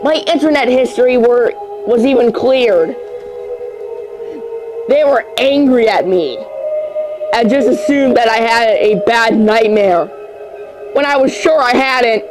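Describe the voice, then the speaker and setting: Slow, stumbling amateur horror story narrator. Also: medium pitch, rough recording. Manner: halting, stumbles over words and repeats phrases. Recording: music under the voice. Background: music.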